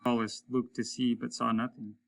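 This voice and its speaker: A man reading a short story aloud as dramatic narration, measured and solemn. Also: mid-range voice, flat delivery, creaky. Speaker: audiobook reader, teacher.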